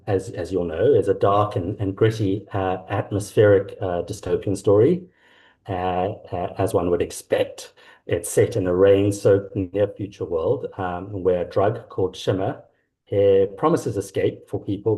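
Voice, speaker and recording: A middle-aged South African man talking reflectively and haltingly as an author being interviewed. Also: lowish voice, warm tone, rough recording. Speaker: author guest. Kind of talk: conversational interview about own work.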